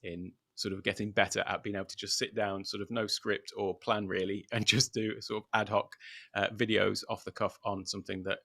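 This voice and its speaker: Deep-voiced British man, dry sound. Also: little pitch movement, brisk, gravelly, bright, forceful tone, no room sound. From United Kingdom. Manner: loose and unscripted, many "sort of" fillers. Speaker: guest. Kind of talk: casual livestream interview. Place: at home in Thailand.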